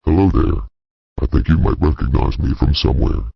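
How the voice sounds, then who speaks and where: A man playing a brazen, taunting kidnapper in an audio drama, with a gravelly, husky, very deep voice and a casual, mockingly polite manner. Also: expressive, moderate pace, no room sound, heavily acted. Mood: smugly taunting. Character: brazen, taunting male child kidnapper calling emergency line. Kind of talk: amateur audio drama acting. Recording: dull, muffled lo-fi sound.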